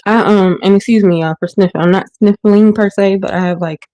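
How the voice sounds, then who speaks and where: A woman's voice: mid-range voice, fairly even intonation, fairly quick, dark tone, no room sound. A woman hosting a casual livestream from her kitchen, a bit stuffy and sniffling, rambling with many ums and restarts. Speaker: livestream host. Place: home kitchen. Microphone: phone or webcam while washing dishes.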